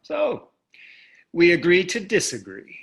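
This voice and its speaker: A man's voice: high voice, wildly swooping pitch, slow, vocal fry, exaggerated voice. An actor reading the part of a blasé, privileged husband in his sixties in a staged play, with dry, dismissive remarks.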